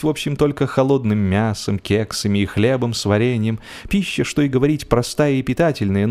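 A man narrating a humorous story as scripted audio drama, with expressive, measured, theatrical phrasing. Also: mid-range voice. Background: music.

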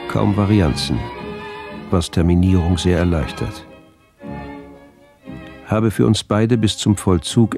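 An actor playing a cold, obsessive doctor of a past era, reading his diary in a clipped, formal way in a radio play. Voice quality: deep, creaky, dark. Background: faint music with violin.

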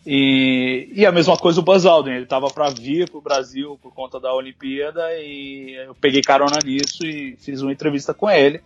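A man's voice: mid-range voice, fairly even intonation, normal speed, hardly any pauses. A man, a journalist guest on a podcast, chatting casually and jokingly, with restarts as he thinks. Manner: thoughtful, joking, with filler restarts. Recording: muffled lo-fi audio.

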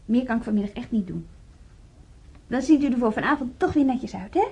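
An actress playing a cheerful, patient young nurse on a hospital ward in a radio play. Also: female voice, a little high, expressive, fairly quick, dark tone, husky, muffled recording, exaggerated voice. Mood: reassuring, patient. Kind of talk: naturalistic radio play acting.

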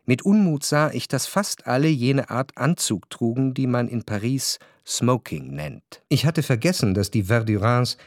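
A man narrating literary prose for a radio drama in measured, deliberate phrases. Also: mid-range voice, lively intonation, no room sound. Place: radio studio. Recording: dry studio with music behind.

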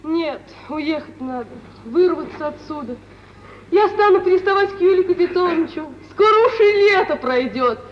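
An actress playing an unhappy, dreamy young bride in a theatrical, yearning, drawn-out manner of old Russian radio drama. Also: female voice, extremely high pitch, animated, a little hiss or hum. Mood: weary longing. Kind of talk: mid-century Russian stage drama acting for radio. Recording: dull 1950s radio recording, faint music.

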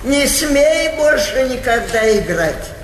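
A woman's voice: high voice, very animated, slow pace, a little hiss or hum, exaggerated voice. An older woman playing an imperious, capricious aristocratic old lady in a Russian stage comedy. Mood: scolding. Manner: commanding, haughty. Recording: roomy theatre hall, music behind.

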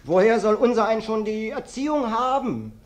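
An actor playing an uncouth, sly vagrant guest who acts humble and self-pitying, in a German radio play. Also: male voice, sits high, very animated, normal speed. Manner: plaintive, falsely humble, insistent.

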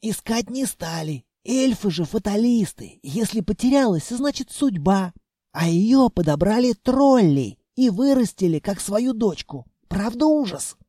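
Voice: mid-range voice, sing-song, unhurried, hardly any pauses, mellow, dry recording, studio quality, voice pushed up. Чтец озвучивает молодую продавщицу-блондинку из аудиокниги, заносчивую, мелочную сплетницу с манерной речью. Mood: confiding. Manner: affected, huffy, confiding. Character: snooty young blonde saleswoman, gossipy, petty, xenophobic.

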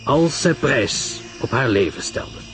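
Guy doing older detective man, grave n sure of himself, radio mystery, very animated pitch, explains everything emphatically, bit of music. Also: slow.

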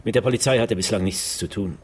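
Actor as a dry low-voiced police detective, noisy surroundings. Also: male voice, quick pace, lightly acted. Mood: dry, skeptical. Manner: dry, hesitant, understated. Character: dry male police detective, seasoned, slightly grumbling. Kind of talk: naturalistic radio drama acting.

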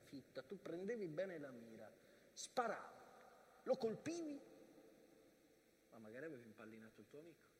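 An actor performing a theatrical monologue as a soldier-narrator of the First World War, reading front-line letters aloud in emphatic, broken phrases. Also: male voice, fairly high pitch, wildly swooping pitch, normal speed, pauses often, a little mellow, slightly rough, exaggerated voice. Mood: explanatory.

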